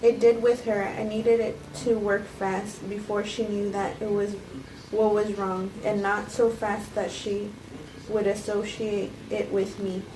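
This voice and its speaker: A woman reads as a self-justifying woman who calmly confesses to poisoning. Her delivery is halting, like a cold script read. She sounds distant in an echoey room, with clear noise behind her. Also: medium pitch, natural voice. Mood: matter-of-fact. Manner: halting, stumbling amateur reading. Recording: echoey room, distant mic, noisy background.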